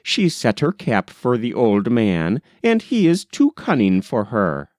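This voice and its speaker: A man voicing a frank, cheerful young gentleman in a novel, with an animated, bantering manner. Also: medium pitch, unhurried, dry recording, studio quality. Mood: teasing.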